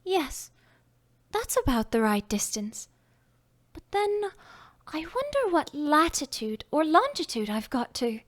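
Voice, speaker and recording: A curious little girl, earnest and chatty, as a woman puts her on, musing aloud in a high, lilting voice that rises on every question. She talks to herself as she wonders, lively and bright in her storybook tone. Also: slow, no echo, clean studio sound, full character voice. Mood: wondering.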